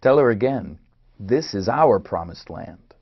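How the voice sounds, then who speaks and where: A man's voice: on the low side, expressive, measured pace, slightly rough, full character voice. An actor in a play reading, playing a firm, wary man in an Israeli family, on a dull recording.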